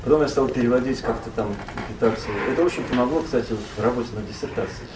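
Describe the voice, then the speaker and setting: Man, interview guest, meandering storyteller, middle pitch, roomy echo, background noise. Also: rapid-fire, distant mic. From Russia. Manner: rambling, with many fillers and self-corrections. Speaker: interview guest, poet and translator. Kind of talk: relaxed storytelling in conversation.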